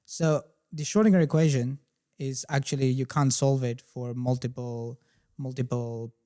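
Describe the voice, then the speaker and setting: A man in his twenties with a slight Spanish accent, a podcast guest telling his story in a relaxed, self-deprecating way. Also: medium pitch, moderate pace. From Spain. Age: 20s. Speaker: podcast guest, chemistry tutor. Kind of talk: casual interview chat.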